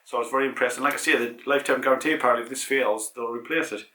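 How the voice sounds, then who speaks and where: Brisk British man with a cold. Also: medium pitch, steady tone, sharp tone, roomy sound. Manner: rambling, many ums and restarts. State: congested with a cold. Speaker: cycling channel host. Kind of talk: casual product review vlog.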